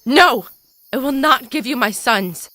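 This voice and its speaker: A woman's voice: sits high, animated, measured pace, sharp tone, dry recording, exaggerated voice. A woman playing an addled, babbling psychic mother in an audio drama, rambling cryptically in a theatrical way. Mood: frantic. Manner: rambling non sequiturs, theatrical delivery. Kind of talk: stage-style audio drama acting.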